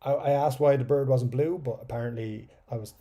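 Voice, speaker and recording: An Irish man hosting a laid-back livestream, his voice gravelly and mellow. He reads out viewer comments and rambles casually, dropping the odd swear word and letting sentences fade into a mumble. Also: steady tone, brisk. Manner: chatty, swears casually, trails off into low mumbles. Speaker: livestream host.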